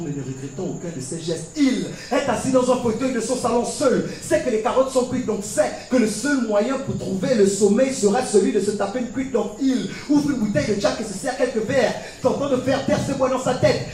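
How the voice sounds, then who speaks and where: A man from Gabon performing slam poetry as dramatic storytelling, with theatrical rhythm, deliberate repetition and pauses. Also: sits high, dark tone, soft background music. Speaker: slam poet. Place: live poetry competition stage. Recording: very echoey, distant mic, faint noise.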